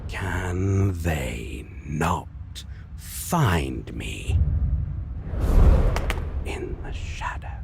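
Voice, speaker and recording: Male vampire, deep, very slow, mocking, menacing, breathy whispers, dark fantasy roleplay, noisy background. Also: very animated, exaggerated voice. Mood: sinister amusement. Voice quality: gravelly, low. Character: taunting, menacing male vampire, a lost love turned monster.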